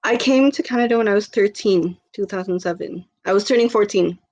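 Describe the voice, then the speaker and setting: A woman's voice: medium pitch, brisk, darkish tone. A woman in her twenties from the Philippines, a podcast guest chatting casually with lots of fillers and easy laughter. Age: 20s. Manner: rambling, filler-heavy, laughs easily. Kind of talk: casual chat.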